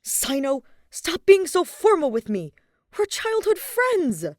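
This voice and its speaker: A woman's voice: extremely high pitch, sing-song, slow, a little mellow, no room sound, clean studio sound, voice pushed up, full character voice. A woman voicing a dramatic, friendly young male queen in a storybook tale, theatrical and easily flustered. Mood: flustered, pleading.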